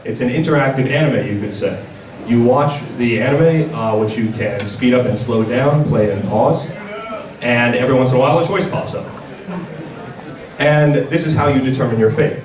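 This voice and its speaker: A man's voice: medium pitch, normal speed. Roomy, distant man presenting to a crowd. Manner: casual, wry, joking delivery. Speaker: company presenter hosting a convention panel. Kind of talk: informal product presentation to an audience. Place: large indoor convention panel room. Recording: roomy, distant mic, audible audience. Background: audience crowd.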